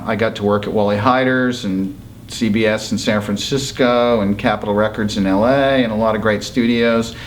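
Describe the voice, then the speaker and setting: Middle-aged man from Massachusetts, session bassist, rambling interview memories full of "uh"s, mid-range voice. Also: slight background noise. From Massachusetts, USA. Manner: rambling, halting with many fillers. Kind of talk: interview storytelling.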